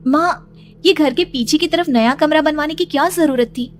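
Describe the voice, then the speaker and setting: A woman's voice: sits high, moderate pace, slight background noise, voice pushed up, close to an everyday voice. A woman voicing a frightened young pregnant woman who asks panicked questions in a horror cartoon. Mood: puzzled. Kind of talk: animated horror story dialogue.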